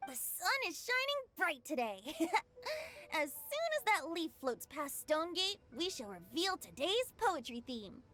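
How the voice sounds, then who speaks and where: A woman's voice: sits high, sing-song, unhurried, over music. A woman playing a youthful, carefree male bard in an anime game, with a lighthearted, lyrical, teasing manner. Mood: cheerful, lyrical.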